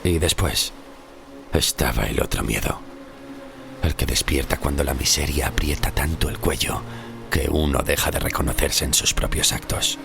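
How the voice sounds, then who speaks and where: A man's voice: moderate pace, background noise. An actor playing a haunted, fearful poor sailor of the sixteenth century, telling his story as a solemn, brooding horror monologue in audio fiction. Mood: bitter, dread. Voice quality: very deep, gravelly. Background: music.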